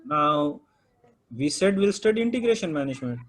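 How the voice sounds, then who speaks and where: A man's voice: high voice, big pitch swings, moderate pace. A man whose first language is Urdu, teaching a project management class and prodding students with questions.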